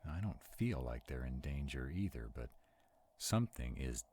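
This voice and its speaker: A man playing a sarcastic, protective vampire in a roleplay audio drama, wary and quick-witted, talking to unseen companions. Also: a bass voice, big pitch swings, darkish tone, a little hiss or hum. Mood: wary, uneasy.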